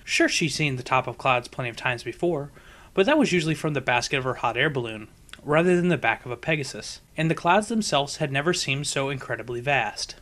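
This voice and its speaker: A man's voice: medium pitch, expressive, a little fast, a bit bright. A man narrating a scripted story as an audiobook reader.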